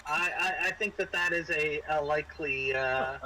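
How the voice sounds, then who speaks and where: A man acting as game master, explaining a tabletop game casually in a halting way. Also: on the high side, sharp tone. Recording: clearly noisy room recording.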